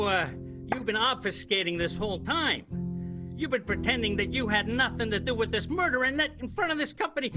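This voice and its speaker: A man playing a blustering, bumbling detective in an improvised radio play, halting and repeating himself. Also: very high-pitched. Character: bumbling, blustering male detective questioning suspects.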